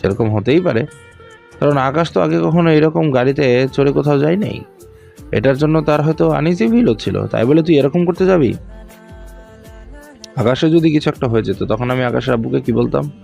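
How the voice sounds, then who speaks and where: Man acting concerned, gravelly protesting man. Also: on the high side, animated, normal speed, darkish tone. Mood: reproachful. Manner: pleading, reproachful. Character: concerned, protesting men: boss's father and office manager. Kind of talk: audio story character dialogue. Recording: music under the voice.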